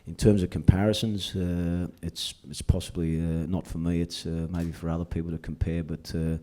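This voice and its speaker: Deep-voiced, hesitant man. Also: little pitch movement, moderate pace, dark tone. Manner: hesitant, full of uh and you know fillers. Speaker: club executive. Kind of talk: press conference answers.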